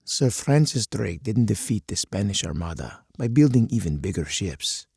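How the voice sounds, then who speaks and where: An actor playing a hard, commanding older colonel in a strategy game, husky and gravelly, with stern, deliberate oratory. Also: male voice, on the low side, big pitch swings, a little mellow, no room sound, clean studio sound, heavily acted. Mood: grave lecturing. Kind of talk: dramatic scripted game character monologue.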